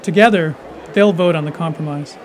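A man narrates a documentary in a notably high-pitched voice, reading from a script. There is some faint noise behind him. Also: a little fast, a faint crowd.

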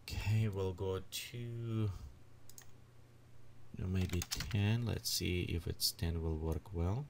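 A man with leading a software tutorial at his computer, thinking aloud as he adjusts settings. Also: sits low, creaky, background noise. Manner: unhurried, thinks aloud while clicking through settings. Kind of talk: screen-recorded software tutorial.

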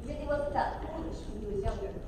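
In a big, very echoey theatre, heard from far off, an earnest, grieving grandmother acted by a young woman tells her family's story on stage. The voice is very high and deliberate, in an amateur play. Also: background noise, close to an everyday voice. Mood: earnest, sorrowful. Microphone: distant camera in the audience. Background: music.

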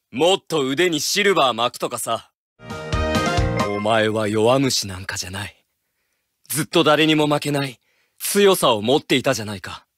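A man's voice: fairly high pitch, lightly acted. A man voicing a confident teenage card duelist for a video game, dramatic and declamatory. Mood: tender, solemn. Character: cocky confident teenage boy card duelist with regal bearing. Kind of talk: video game character voice clips. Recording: game music behind.

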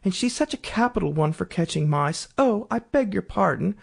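A woman voicing a polite, eager little girl in a storybook, earnest and quick to apologise. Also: mid-range voice, lively intonation, vocal fry, breathy edge, voice pushed up. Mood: soothing, dreamy, then hasty apology.

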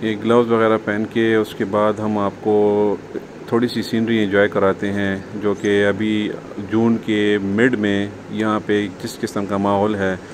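A man's voice: fairly low pitch, level tone, measured pace. A man with a Pakistani accent giving casual commentary as a travel vlogger outdoors. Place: outdoors on a mountain pass, partly riding a motorbike. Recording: noisy outdoor recording with wind and vehicle noise.

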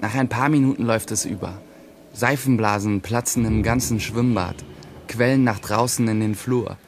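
A man's voice: fairly low pitch, normal speed, background noise, lightly acted. An actor playing a self-righteous, self-important teenage boy loner, narrating and speaking in a crime radio play. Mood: wry. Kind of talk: first-person audio drama narration and dialogue in a crime radio play. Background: music behind the voice.

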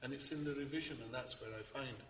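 An older man, a novelist on a festival panel, telling an anecdote with lively gestures and laughing as he tells it. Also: medium pitch, fast. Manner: animated, gestures a lot, laughs while telling. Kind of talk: anecdotal storytelling. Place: large hall, seated on stage. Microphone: far room mic. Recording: echoey hall from far off, faint noise.

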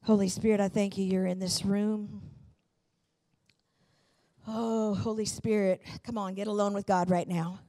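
Middle aged lady pastor preaching on stage w handheld mic, emphatic n fervent, mid pitched voice. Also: flat delivery, measured pace, long pauses, mellow. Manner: emphatic and fervent, with repeated phrases. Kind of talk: sermon with personal storytelling. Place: large church auditorium, on stage. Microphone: handheld microphone.

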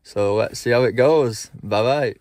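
A man's voice: mid-range voice, measured pace, a little mellow. A man, earnestly talking to a phone camera from inside a parked car, with frequent ums. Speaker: church vlogger. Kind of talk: reflective vlog to camera. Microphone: phone camera at arm's length.

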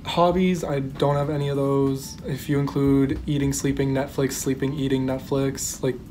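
A man's voice: medium pitch, level tone, normal speed, warm tone. A young man giving a joking, self-mocking introduction as a game contestant on a webcam in his bedroom. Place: bedroom at home.

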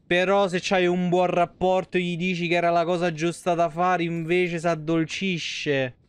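A man's voice: sits high, little pitch movement, moderate pace, clean tone, dry recording. A man in his thirties giving casual, slangy, sweary and sarcastic live commentary on a game. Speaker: game streamer. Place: small room at home, indoors.

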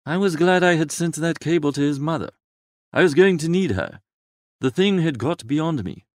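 A man reading a first-person literary story aloud as an expressive narrator. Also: a little high, no echo, studio quality. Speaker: audiobook narrator.

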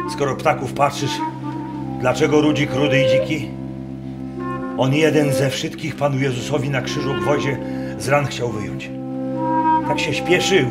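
A man's voice: medium pitch, natural voice. A man playing an old Masurian peasant prisoner, music-backed. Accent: Masurian rural dialect. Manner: plain, folksy storytelling. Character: humble, pious old Masurian peasant prisoner, about eighty. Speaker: actor in a radio play. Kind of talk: radio drama acting. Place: wooden barn, live performance. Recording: loud guitar music behind voice. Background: loud guitar music.

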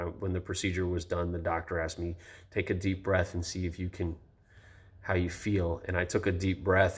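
A man in his thirties giving a casual, rambling video review, congested and a little tired after nose surgery, recorded on a close-held phone indoors. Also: sits low. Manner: relaxed and rambling, many ums and restarts. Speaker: vlogger. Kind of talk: casual first-person review. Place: indoor room with high ceiling. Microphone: phone camera held close.

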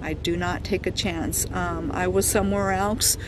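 A woman's voice: on the low side, steady tone, normal speed. A middle-aged woman vlogging outdoors at a desert campsite, chatty and explaining things step by step with frequent fillers. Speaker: van life vlogger. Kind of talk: casual how-to vlog talk. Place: outdoors at a desert campsite under a sun shade. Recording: outdoor recording with clear wind and vehicle noise.

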